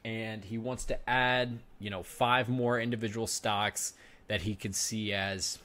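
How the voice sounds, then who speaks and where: A young man in his twenties with a somewhat low voice hosts a finance channel. He talks in a loose, chatty way and keeps turning to address his viewers directly. The delivery sounds like relaxed, unscripted commentary. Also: a little slow. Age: 20s. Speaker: finance YouTuber host. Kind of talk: casual reaction commentary.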